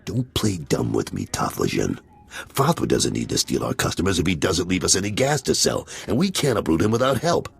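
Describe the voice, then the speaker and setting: Video game crime underboss: bottom-register, heavily rasping, gruff, weary, blunt and wry. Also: male voice, low-pitched, big pitch swings, a little fast, heavily acted. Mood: irritated. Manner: blunt, dryly sardonic. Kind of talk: video game character acting.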